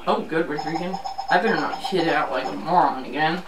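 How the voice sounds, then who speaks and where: A woman's voice: low voice, expressive, normal speed. A woman laughs and grumbles at a video game, tossing out exasperated exclamations between giggles. Her voice is caught from across an echoey living room by a distant camera. A faint hum of room noise runs behind her. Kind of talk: casual chat while playing a video game. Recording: roomy, distant home video.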